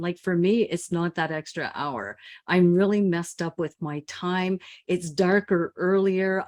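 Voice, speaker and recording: Lower-voiced chatty woman host. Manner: chatty, many fillers. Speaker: podcast host. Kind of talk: casual podcast chat.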